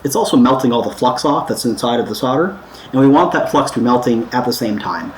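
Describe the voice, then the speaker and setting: A man's voice: mid-range voice, lively intonation, fast talker, a little hiss or hum, low-quality recording. An American man giving a casual, hands-on DIY repair tutorial in a laid-back, playful, informal way. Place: indoors at home, windows open.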